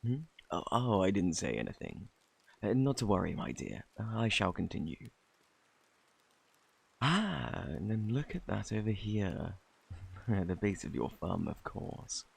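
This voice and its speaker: Low, gravelly British fortune teller played by a man. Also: very animated, unhurried, darkish tone, heavily acted. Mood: smooth, coy. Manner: courtly, old-fashioned, teasing phrasing. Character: flirty, peculiar fortune teller man at a fantasy inn. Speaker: ASMR roleplay performer. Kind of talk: ASMR character roleplay.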